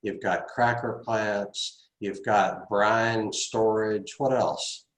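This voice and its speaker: A man's voice: lowish voice, fairly even intonation, extremely slow. A man hosting a talk show, asking wry, sarcastic questions. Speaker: host. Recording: echoey room.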